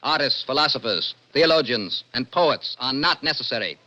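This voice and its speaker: A stern, forceful young military judge. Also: male voice, mid-range voice, animated, moderate pace. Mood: cold, unbending. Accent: American. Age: young adult. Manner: cold, formal, clipped pronouncements. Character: stern young lieutenant judge of a dystopian court. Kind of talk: 1960s radio drama acting. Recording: dull old-time radio recording.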